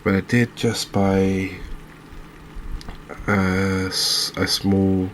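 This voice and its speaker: A man with an English accent giving soft-spoken ASMR game commentary in a hushed, unhurried, hesitant manner. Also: sits low, little pitch movement, a little hiss or hum. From England. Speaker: gaming video host.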